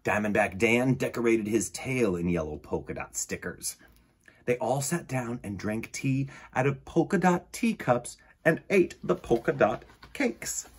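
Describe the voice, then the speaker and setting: A man hosting storytime for children, reading a picture book aloud in an expressive, emphatic way. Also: mid-range voice, unhurried, slightly rough. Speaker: children's storytime host and reader.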